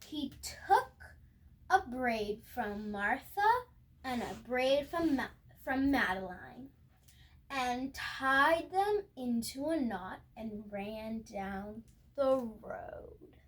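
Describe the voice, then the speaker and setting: Very slow, high-pitched young girl reading aloud. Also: rough recording. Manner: expressive, halting with stumbles and self-corrections. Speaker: storybook reader. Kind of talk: read-aloud of a picture book with asides to viewers.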